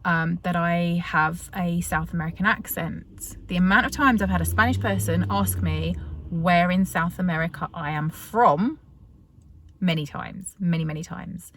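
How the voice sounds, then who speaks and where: A woman's voice: lowish voice, a bit bright, dry recording. A British woman vlogger telling a story in a casual, chatty way, full of fillers and restarts. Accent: British English.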